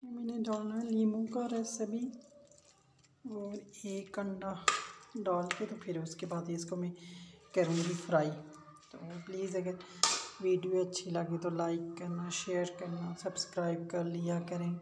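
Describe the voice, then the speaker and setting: A woman casually demonstrating cooking in halting, short phrases, recorded indoors on a handheld phone. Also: fairly low pitch, level tone. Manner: halting, short fragmented phrases while working. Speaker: home cook and vlogger. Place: indoors at home. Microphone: handheld phone, held while cooking. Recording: faint noise, homemade phone recording.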